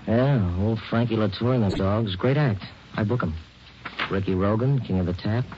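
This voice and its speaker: On a dull, faintly noisy old recording, a chatty showbiz agent acted by a man speaks in a low voice. He is folksy, slangy and offhand, in old radio drama style. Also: normal speed, lightly acted. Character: chatty, casual male showbiz booking agent. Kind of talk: 1950s radio drama acting.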